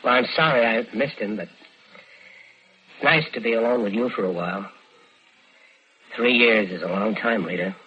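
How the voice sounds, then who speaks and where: A man's voice: on the low side, unhurried, long pauses, close to an everyday voice. Scheming American crook in dull old radio sound played by a man. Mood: coaxing, pleading. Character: scheming smooth-talking male killer narrating his own crime. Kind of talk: 1940s-50s radio crime drama acting.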